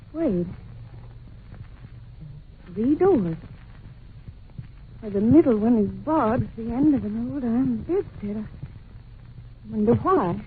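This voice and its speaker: A noisy, narrow-band vintage radio recording carries a young governess. She is well-mannered but anxious and curious, and speaks with earnest, theatrical expression. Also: medium pitch. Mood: fearful, wondering. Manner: polite, earnest, expressive storytelling. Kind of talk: old-time radio drama acting.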